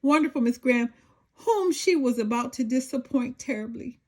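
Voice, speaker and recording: Woman, children's read-aloud narrator. High voice with very lively, expressive delivery. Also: moderate pace. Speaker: storyteller reading a children's picture book aloud.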